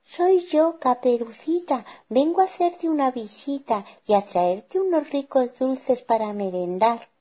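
Casting: sweet trusting small girl for a fairy tale, raised pitch, sing-song. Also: animated, a little slow, warm tone, breathy edge, heavily acted. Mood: cheerful, eager. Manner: childlike, sing-song, naive.

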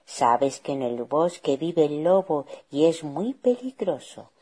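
A woman voicing a caring mother in a storybook tale, gently cautioning and affectionate. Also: low-pitched, lively intonation, slow, slightly rough, heavily acted. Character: caring, confident mother advising her young daughter.

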